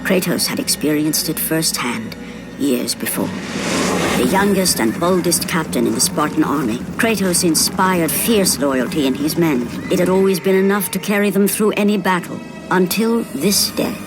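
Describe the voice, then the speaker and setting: An actress playing a grave, all-knowing narrator of a Greek myth in a video game, solemn and theatrical. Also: female voice, on the low side, moderate pace, lightly acted, low-quality recording. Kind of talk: dramatic scripted narration of a mythic game story. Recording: loud music behind the voice.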